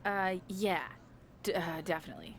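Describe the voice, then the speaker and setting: An actress playing a friendly, slightly awkward backstage interviewer in a video game, casual and candid. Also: female voice, lowish voice, normal speed, slight background noise, close to an everyday voice. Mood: sheepish. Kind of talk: video game cutscene acting.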